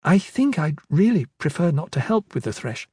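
A man's voice: fairly high pitch, very animated, moderate pace, warm tone, slightly rough, no echo, clean studio sound, pitched above the performer's own voice. A man voicing a timid, nervous young woman magister who speaks hesitantly. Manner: hesitant, meek.

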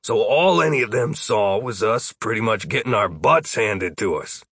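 A man's voice: lowish voice, expressive, normal speed, fairly bright tone, breathy edge, no echo, full character voice. A man voicing a sly older male wizard in an audiobook, breezy, folksy and teasing as he talks persuasively. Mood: persuasive, scheming.

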